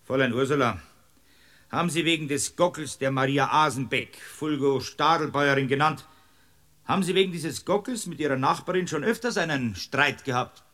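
An actor playing a stern, exasperated district court judge with a Bavarian accent in a comic radio drama, scolding with official authority. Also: male voice, on the high side, normal speed, fairly bright tone, lightly acted.

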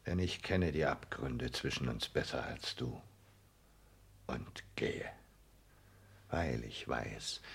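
Calculating male social climber, declamatory, very raspy, creaky, vintage radio play delivery. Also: extremely low pitch, exaggerated voice. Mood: ardent, solemn. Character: self-important male social climber, calculating and romantically scheming. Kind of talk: 1960s German radio play monologue.

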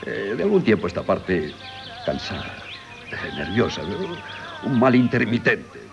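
Casting: fussing, genial country doctor, middle-aged, low and very melodic, Castilian accent, hesitant, 1970s radio drama. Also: male voice, very animated, unhurried, gravelly, dull audio, music in the background, exaggerated voice. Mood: concerned, confiding. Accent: Castilian Spanish. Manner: hesitant, many filler sounds.

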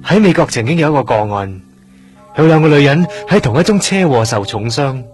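A man with a Hong Kong accent playing an earnest, curious young trainee doctor in a radio drama, explaining things in a measured way. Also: fairly high pitch, expressive, a little mellow, faint music behind, natural voice. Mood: earnest, explanatory. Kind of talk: Cantonese radio drama acting, explanatory dialogue.

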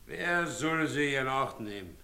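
A sturdy village husband in a naturalistic radio drama. He has a bright, forceful voice of middle pitch and a Silesian dialect, and directs others plainly, good-natured but commanding. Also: animated, measured pace, vocal fry, exaggerated voice. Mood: coaxing. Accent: Silesian German. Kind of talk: naturalistic German radio play acting in dialect.

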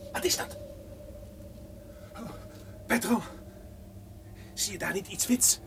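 Very high, panicky sentry. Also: male voice, slow pace, vocal fry, bright, forceful tone, slight background noise, exaggerated voice. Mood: terrified. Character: terrified, superstitious sentry begging for mercy. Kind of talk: old-fashioned radio drama acting.

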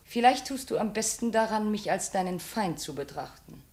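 An actress playing a proud, bitter, jilted princess now enslaved, speaking reproachfully in formal 1950s German radio drama style. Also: female voice, mid-range voice, lively intonation, moderate pace, darkish tone. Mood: scornful. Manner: reproachful, cutting, formal stage diction.

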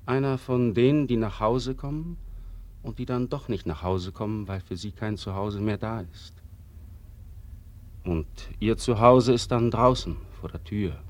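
An actor playing the solemn, bitter narrator of a 1940s radio play, speaking gravely and with deliberate emphasis. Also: male voice, on the low side, a little mellow, natural voice. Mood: solemn, emphatic. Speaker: prologue narrator.

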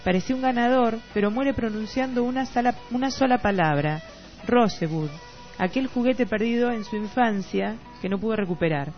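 A woman's voice: on the high side, moderate pace. A woman with a Rioplatense Argentine accent co-hosting a casual literary radio chat, at times reading out comments. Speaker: radio co-host. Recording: slightly dull radio audio with music behind. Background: music.

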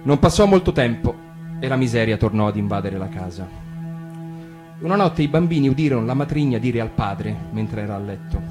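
A man reads a fairy tale aloud in a calm, measured way, his voice sitting in a middle pitch. Clear guitar music plays underneath him in a radio studio. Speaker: reader.

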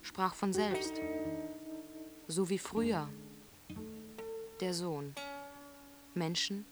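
A narrator reciting a literary radio play text in a deliberate, fragmented way. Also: medium pitch, big pitch swings. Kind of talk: literary scripted narration over music. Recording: music behind the voice. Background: plucked guitar music.

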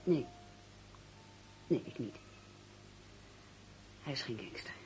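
An actress playing a loyal, indignant young fiancée in a radio drama, speaking earnestly and with emphasis. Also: female voice, very deep voice, animated, slow, mellow, faint music behind, exaggerated voice. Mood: indignant, bitter. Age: young adult. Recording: noisy radio play recording.

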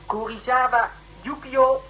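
Sci-fi radio role: female ship's officer, slow formal reports, slightly high pitch. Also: fairly even intonation, a bit bright, low-quality recording. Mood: formal, urgent. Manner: formal, deliberate military reporting. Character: dutiful female course-command officer on a spaceship. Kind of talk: radio play acting.

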